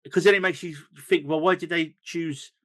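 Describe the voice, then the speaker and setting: A man's voice: fairly high pitch, fairly even intonation, fairly quick, a bit bright. A man hosting a podcast, chatting about films in a rambling, enthusiastic way with plenty of fillers. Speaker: podcast host. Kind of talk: casual film review chat.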